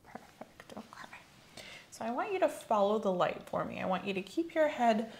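A woman speaking in a gentle, measured, clinical way, often dropping to a whisper, in a soft ASMR medical exam roleplay. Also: medium pitch, big pitch swings, creaky. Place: small indoor room set up as an exam office. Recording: faint background noise.

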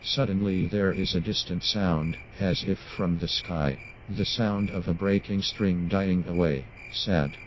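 A man's voice: low voice, fairly even intonation, unhurried, hardly any pauses. An actor reading dramatically as a solemn, mournful man on a muffled, noisy old film soundtrack. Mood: solemn, hushed.

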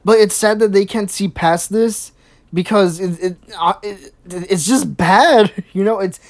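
A woman's voice: measured pace, a little mellow. A woman hosting a mocking reaction commentary, sarcastic and crude, swearing freely and stumbling over restarts. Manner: sarcastic, swears a lot, mimics in squeaky voices. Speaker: host.

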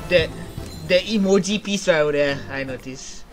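A young man giving casual reaction commentary, repeating himself and searching for words as he goes. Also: on the high side. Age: young adult. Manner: halting, repetitive, searching for words. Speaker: reaction video host. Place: small home room, indoors. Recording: game trailer music playing behind.

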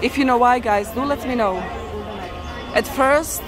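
A woman with a slightly high voice records a casual walking-tour vlog. She sounds enthusiastic and full of wonder as she chats and comments. Around her is the clear bustle of a crowded street with vehicles. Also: a little slow. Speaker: travel vlogger. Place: outdoors on a crowded market street. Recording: outdoor street with heavy crowd and traffic. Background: busy crowd, vehicles.

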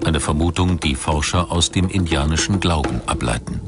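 Male documentary narrator, very low register, factual scripted read with a music bed underneath. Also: moderate pace. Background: background music.

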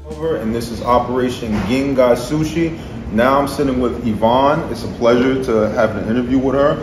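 A man's voice: medium pitch, moderate pace, over music. A man hosting a casual food vlog, laid-back and joking as he interviews a restaurant owner. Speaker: food vlogger host. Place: sushi restaurant. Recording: echoey room with background noise.

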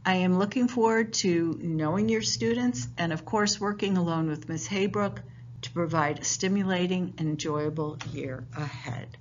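A woman's voice: on the low side, normal speed. A woman teacher welcoming parents to a class and explaining how it runs, in a friendly, informal way with some fillers. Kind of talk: virtual parent night presentation. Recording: dull lo-fi home recording, faint hiss.

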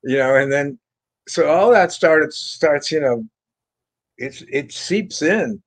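A man's voice: a little high, expressive, slow pace. Rambling older man on a video call. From France. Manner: rambling, many fillers and restarts. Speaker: guest guitarist. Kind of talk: casual interview storytelling. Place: home room with bookshelves.

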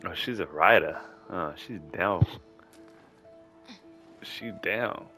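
A man's voice: low-pitched, slow pace, sharp tone, faint music behind. A man playing a co-op video game, giving casual, slangy, joking reactions as he plays. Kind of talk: casual gameplay chat and reactions.

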